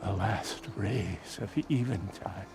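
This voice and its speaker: A slow, wounded, devout prophet. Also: male voice, deep voice. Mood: fervent hope. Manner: halting, reverent, prophetic proclamations. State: wounded, weak. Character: dying male religious leader, devout and feverishly hopeful. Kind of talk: video game cutscene acting. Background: music.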